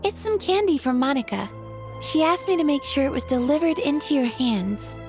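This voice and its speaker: An actress playing a timid young restaurant employee in a video game, courteous and apologetic. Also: female voice, sits high, expressive, moderate pace, faint music behind.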